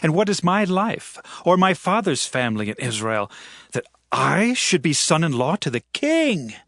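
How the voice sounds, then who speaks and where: Man playing a high-voiced, humble young man. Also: very animated, moderate pace, a bit bright, no room sound, clean studio sound. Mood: humble, questioning. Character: humble, earnest young man, a shepherd turned warrior. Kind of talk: dramatized scripture audio acting.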